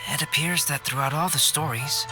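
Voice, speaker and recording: A man voicing a calm, analytical, polite young artist in a video game, speaking in a measured, formal, reserved way. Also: a little high, close to an everyday voice, rough recording. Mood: pondering. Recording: music behind the voice.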